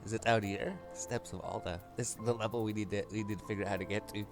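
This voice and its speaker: Low-voiced man giving rambling game commentary. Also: steady tone, quick pace. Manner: rambling, thinking aloud. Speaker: gaming video host. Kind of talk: casual game walkthrough commentary. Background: game music.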